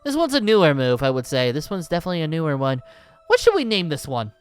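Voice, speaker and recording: A man's voice: on the high side, huge pitch swings, fast, smooth. A man playing a boastful, jovial ancient dragon slayer wizard in a theatrical, formal manner for an improvised Minecraft roleplay. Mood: boastful, playful. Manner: theatrical, grandly formal, chuckling. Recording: background music under the voice.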